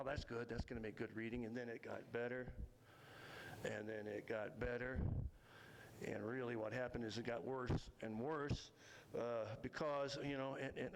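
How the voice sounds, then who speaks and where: Halting, folksy male storyteller, noisy recording. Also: medium pitch, vocal fry. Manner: folksy, rambling, self-deprecating, halting. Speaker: storyteller and local history author. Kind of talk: live tall-tale storytelling to an audience.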